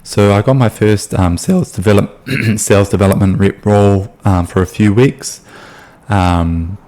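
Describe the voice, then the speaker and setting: Brief: young New Zealand man, deep voice, relaxed chatty explainer delivery. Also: a little slow. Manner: loose and chatty, with many ums and restarts. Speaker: sales consultant. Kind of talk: casual explainer video.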